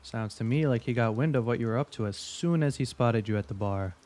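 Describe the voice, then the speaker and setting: Guy doing a smug veteran investigator in an audio drama, medium pitch, wry, probing, needling. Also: fairly quick, darkish tone, natural voice.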